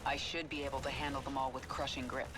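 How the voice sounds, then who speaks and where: A determined psychic heroine of a video game. She speaks in terse, purposeful phrases with a wry edge. Loud game music runs underneath her. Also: a bass voice, level tone, fast talker. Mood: focused, determined. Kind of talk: video game character dialogue and unit responses.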